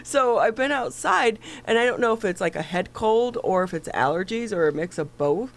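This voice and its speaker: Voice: mid-range voice, animated, moderate pace, gravelly. A talk show host with a stuffy nose, reading the news and chatting casually with a co-host, with lots of fillers and restarts. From Michigan.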